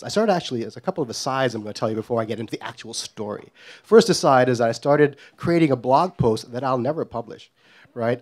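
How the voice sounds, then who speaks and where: A man's voice: mid-range voice, a little mellow. A middle-aged man from Guyana telling a comic story on stage in a wry, self-deprecating way. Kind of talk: live comedic storytelling.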